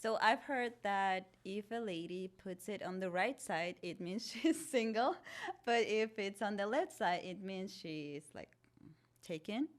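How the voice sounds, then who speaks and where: A woman's voice: medium pitch, lively intonation, measured pace. A woman hosting a casual interview podcast, asking short questions and laughing often. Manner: brief prompts and frequent laughter. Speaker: podcast host.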